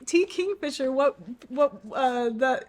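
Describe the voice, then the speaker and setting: A woman's voice: sits high, slow. A woman co-hosting a book channel, chatting casually and playfully with emphatic enthusiasm. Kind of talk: casual playful chat, book guessing game.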